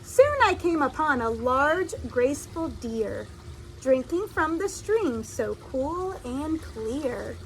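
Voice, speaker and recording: A woman reading a children's story aloud in an expressive, deliberate storytelling style, like a teacher addressing young listeners. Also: sits high, a little hiss or hum, poor audio.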